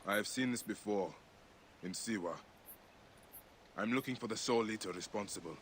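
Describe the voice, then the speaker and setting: An actor playing a grim, grieving Egyptian warrior in a video game, intense and solemn. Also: male voice, lowish voice, normal speed, a bit bright, slight background noise, natural voice. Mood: grim, vengeful. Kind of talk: dramatic video game acting.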